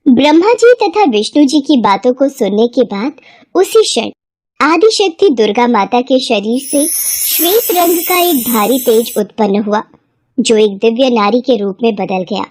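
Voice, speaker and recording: High-pitched woman narrator. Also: lively intonation, a little slow, darkish tone, no echo, studio quality. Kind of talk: scripted devotional story narration.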